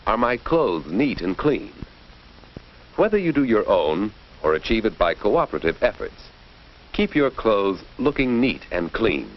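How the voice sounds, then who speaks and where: Male narrator for 1940s instructional short, fairly low voice, instructive and questioning, muffled old film sound. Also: big pitch swings, a little slow.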